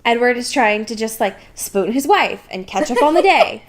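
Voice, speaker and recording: A woman's voice: on the high side, expressive, moderate pace. A woman podcast host casually recapping a book in a sardonic, informal, sweary manner.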